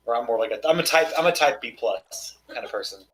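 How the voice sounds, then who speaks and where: Chatty man with a slightly hoarse voice. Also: mid-range voice, animated, fast, a bit bright, a little hiss or hum, rough recording. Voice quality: slightly hoarse, coughing, clears throat. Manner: rambling, chatty, self-deprecating. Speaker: gamer. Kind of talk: casual chat while playing.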